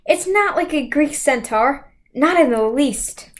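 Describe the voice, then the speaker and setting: Woman doing kids book dialogue, pretty high voice, really up and down. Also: expressive, a little slow. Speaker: story reader. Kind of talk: character dialogue in a read-aloud.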